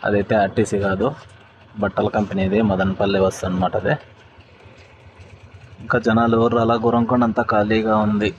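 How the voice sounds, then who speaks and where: రాయలసీమ యాస, బస్ ప్రయాణంలో మాట్లాడే పురుషుడు. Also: male voice, fairly low pitch, level tone, a little fast, long pauses, dull audio. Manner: chatty, addresses viewers as friends. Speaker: travel vlogger. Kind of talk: casual travel vlog narration. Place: on a bus and at bus stands, outdoors. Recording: phone audio with loud road noise.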